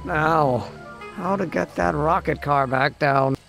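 An actor playing a reckless, impatient young inventor in a video game, brisk and dismissive. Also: male voice, fairly high pitch, creaky, fairly bright tone, soft background music, full character voice.

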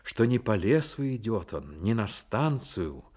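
A man narrating a radio play as a grave storyteller, in a measured, dramatic way. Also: fairly low pitch, very animated, a little mellow, breathy edge, heavily acted. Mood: solemn.

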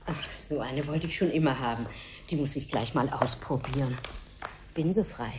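A woman playing a chatty, gushing, gently complaining housewife on a visit in a 1970s German radio play. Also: extremely low pitch, moderate pace. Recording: slightly hissy 1970s radio recording.

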